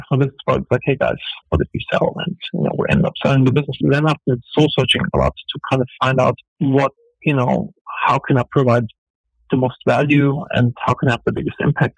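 A man's voice: mid-range voice, gravelly, husky, no room sound, rough recording. A man with a German accent, a business guest on a radio talk show, answering in an earnest, filler-filled way. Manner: earnest, fast, full of fillers. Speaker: entrepreneur guest.

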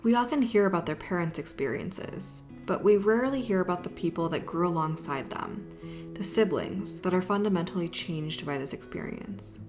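A woman's voice: fairly low pitch, normal speed, over music. A woman hosting a podcast, reading a scripted opening and then asking her guest questions in an encouraging way. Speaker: podcast host.

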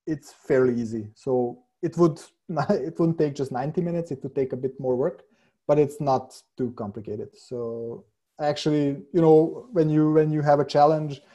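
A man whose first language is Hungarian, a software developer guest answering eagerly, laughing often and repeating words as he thinks. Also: on the high side. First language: Hungarian and Serbian. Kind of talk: casual interview answers.

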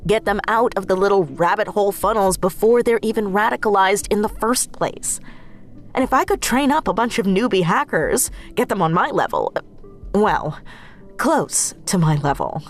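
Casting: self-assured young woman hacker, casual and playful, audio drama, light music underneath. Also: mid-range voice. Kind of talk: naturalistic audio drama dialogue.

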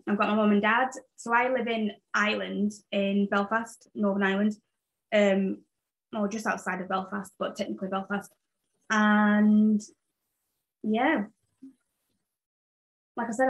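A woman's voice: mid-range voice, rough recording. A woman in her late twenties, born in Zambia and raised in England, hosting her own podcast in a rambling, casual way with plenty of fillers. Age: 20s. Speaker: podcast host. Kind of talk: casual solo podcast chat.

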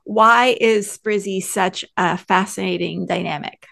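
A woman's voice: mid-range voice, a little slow, vocal fry, fairly bright tone. A woman hosting a casual, playful fan podcast and putting questions to the others. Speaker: podcast host asking questions.

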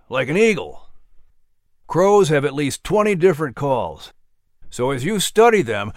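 A patient woodsman uncle, voiced by a man, explaining things with a lively, rising and falling voice. It is recorded dry and close. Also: on the high side, dry recording. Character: patient adult uncle, wise woodsman teacher.

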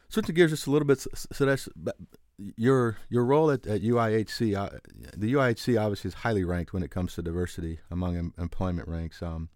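A man's voice: sits low, a little mellow, no room sound, clean studio sound. A man co-hosting, asking questions in a friendly, slightly hesitant conversational way. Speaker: co-host. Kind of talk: casual conversational interviewing.

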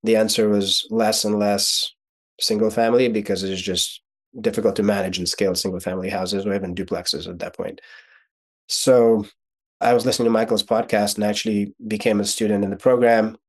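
A man in his forties, a native Russian speaker from Ukraine, talking as a podcast guest and explaining things thoughtfully with small restarts. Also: on the low side. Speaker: guest, real estate investor and mentor. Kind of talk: podcast interview.

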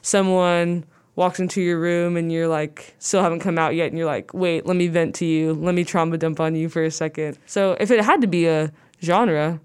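A young woman with a low, smooth and clear voice chats loosely as an interview guest. She rambles through her thoughts, filling them with "like" and small restarts. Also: steady tone, moderate pace, hardly any pauses, darkish tone. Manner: rambling, says "like" a lot. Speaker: guest musician. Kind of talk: casual interview chat.

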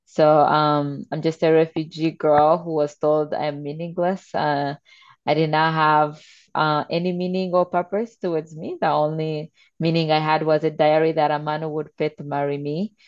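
Low-voiced young woman, earnest. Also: level tone, normal speed, dry recording, muffled recording. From Democratic Republic of Congo, raised in Uganda. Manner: earnest, informal with fillers like "kinda" and "like". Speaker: guest, nonprofit founder. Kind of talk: interview answers.

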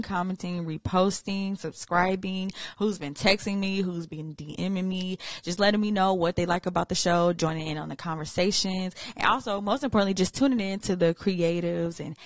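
A woman's voice: on the low side, dry recording, clean studio sound. A woman with a Southern US accent from Atlanta hosting a casual interview, talking in an informal, slangy, familiar way. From Atlanta area, Georgia, USA. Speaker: show host, interviewer.

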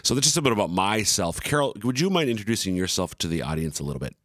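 A middle-aged man with a very low voice hosts an interview, friendly and a little halting as he restarts his sentences. The sound is dry, with no room in it. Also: expressive, fairly bright tone, dry recording. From Minnesota, USA. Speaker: podcast host. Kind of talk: interview hosting.

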